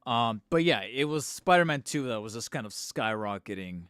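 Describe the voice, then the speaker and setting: Tired slightly hoarse guy rambling on his stream, close mic, dry, no room sound. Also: medium pitch, lively intonation, normal speed, a bit bright, no echo. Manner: rambling, self-mocking, with fillers and restarts. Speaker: channel host streaming live. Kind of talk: casual live-stream chat. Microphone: studio microphone close up.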